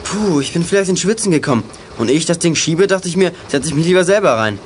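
A young male actor playing a keen, brisk boy detective in a children's radio play. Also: on the high side, lively intonation, heavy background noise, close to an everyday voice. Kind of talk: 1980s German children's radio play acting.

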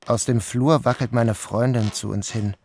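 A man's voice: mid-range voice, fairly even intonation, normal speed, a little mellow, a little hiss or hum. Man reading a dreamlike novel. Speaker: audiobook reader. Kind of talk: literary first-person narration.

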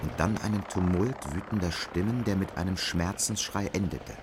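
A man's voice: low-pitched, normal speed, background noise. A man narrating a radio drama as a scripted literary reading.

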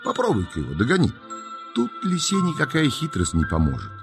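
A man's voice: on the high side, huge pitch swings, a little fast, over loud music. A man narrating a children's nature tale in a folksy, dramatic storytelling style, savouring his words.

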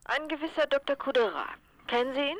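A woman's voice: sits high, lively intonation, fairly quick. A woman acting in a German radio crime play as a detective's secretary, polite, cool and dryly witty. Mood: cool, arch. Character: cool, quietly teasing female detective's secretary.

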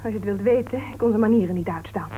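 A woman playing a sharp-tongued, argumentative crime writer in a Dutch radio play, speaking in a pointed, goading way. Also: medium pitch, quick pace, natural voice. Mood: indignant. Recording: old radio recording, narrow tinny band, hiss.

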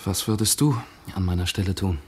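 A man's voice: low-pitched, fairly quick, a little mellow, a little hiss or hum, natural voice. An actor playing a defiant young male poet in a radio drama, speaking in an impassioned, declamatory way. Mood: determined. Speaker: army doctor and playwright. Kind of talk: 1950s-style radio drama acting.

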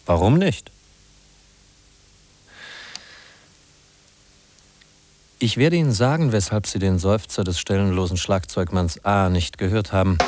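Guy acting a pushy accusing narrator in an old 50s radio play, fairly low, urgent, talks right at you. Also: darkish tone, a little hiss or hum, close to an everyday voice. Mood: urgent, questioning. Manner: urgent, rhetorical, polite but probing. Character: insistent, accusing male narrator addressing the listener directly.

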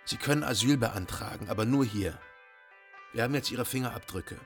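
Brusque actor as German police official. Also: male voice, mid-range voice, fairly quick, faint music behind, lightly acted. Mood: curt, impatient. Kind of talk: radio play acting.

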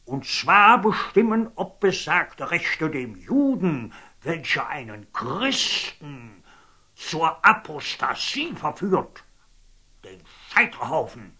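A man's voice: a little high, sing-song, slow, bright, forceful tone, breathy edge, full character voice. An actor playing a pompous, zealous and scheming old churchman in declamatory German radio drama style. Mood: pompous, pitiless. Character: zealous, scheming, fanatical old churchman, shifting from outrage to fawning. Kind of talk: theatrical German radio drama acting of classic stage verse.

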